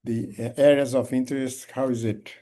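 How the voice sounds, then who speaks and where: Halting Brazilian-accented man giving a talk. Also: medium pitch, little pitch movement, warm tone. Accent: Brazilian Portuguese. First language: Portuguese. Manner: halting, many restarts and fillers. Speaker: guest speaker. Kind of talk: online talk. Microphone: video call.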